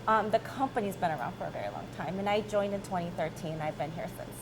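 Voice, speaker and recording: A woman's voice: lowish voice, fast talker, background noise. A woman in her thirties with an American accent, answering interview questions conversationally as a company chief, informally checking in with "right?". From born in South Korea, grew up in Michigan, USA.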